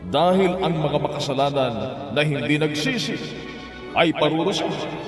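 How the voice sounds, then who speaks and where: A man's voice: fairly high pitch, moderate pace, lightly acted. A man as an earnest preacher delivering a solemn, emphatic sermon of warning and salvation. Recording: echoey hall reverb, loud music behind.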